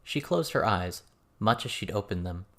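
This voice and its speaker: A man's voice: on the low side, expressive, moderate pace, a bit bright. A man reading scripted fiction as an audiobook narrator.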